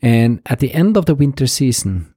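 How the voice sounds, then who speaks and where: A man's voice: mid-range voice, big pitch swings, normal speed, dark tone, slightly rough, dry recording, clean studio sound. A Norwegian man with a Norwegian accent hosting a podcast in English, speaking casually and hesitantly with many "uh" and "um" fillers. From Norway. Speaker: podcast host. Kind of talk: casual chat.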